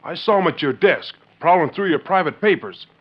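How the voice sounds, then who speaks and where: Brash ranch son, youthful, pushy, highish and very animated, dull vintage radio sound. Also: male voice, fairly quick, breathy edge. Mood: accusing, insistent. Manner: insistent, urgent. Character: rancher's brash, insistent, accusing young son. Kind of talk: 1940s radio western acting. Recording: muffled 1940s radio recording.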